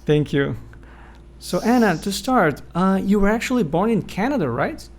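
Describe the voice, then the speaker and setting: A man hosting an interview, politely introducing his guest and asking measured, confirming questions. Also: high-pitched, sing-song. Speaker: interview host.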